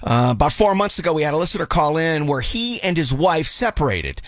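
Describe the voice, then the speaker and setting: A man hosting a morning radio show, talking with a New York accent. He keeps things playful and jokey in quick banter, his voice sitting a touch high. The studio sound is close and dry. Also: big pitch swings, a bit bright, no echo. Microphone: studio microphone.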